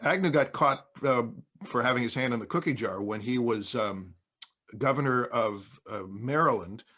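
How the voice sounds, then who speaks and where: Male panelist, raspy mid-range voice, measured and explanatory, conversational. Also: medium pitch, sharp tone. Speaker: podcast panelist. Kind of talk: informal explaining.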